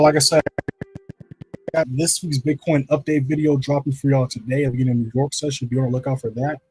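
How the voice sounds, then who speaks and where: A man with a deep voice hosting a live trading stream, talking casually through charts and often checking in with "right?". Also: darkish tone, breathy edge, rough recording. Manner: loose, repeats "right?" to check in with viewers. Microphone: streaming setup microphone.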